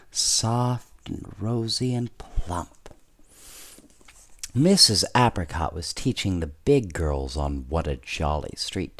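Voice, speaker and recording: A man reading a bedtime story with casual asides, speaking gently and directly to young listeners. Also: lowish voice.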